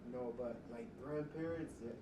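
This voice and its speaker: A man's voice: medium pitch, normal speed, dark tone, recorded from a distance. A young man from the American South with a Southern accent, talking casually as a yeshiva student, rambling with lots of "like" and "you know". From southern United States. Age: young adult. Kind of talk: casual conversation. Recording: roomy, off-mic, noisy.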